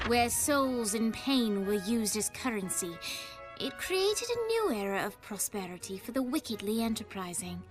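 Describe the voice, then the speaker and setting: A woman's voice: fairly high pitch, close to an everyday voice. A woman playing a composed storybook narrator in a video game, telling a scripted tale in a poised, articulate way.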